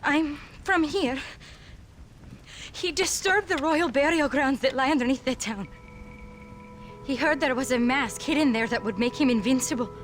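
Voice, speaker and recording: A woman's voice: high-pitched, normal speed, long pauses, slight background noise, lightly acted. An actress playing a fervent, fearful Mexican townswoman who speaks ominously, in a video game. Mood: urgent, evasive. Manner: fervent, dramatic. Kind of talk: video game cutscene acting.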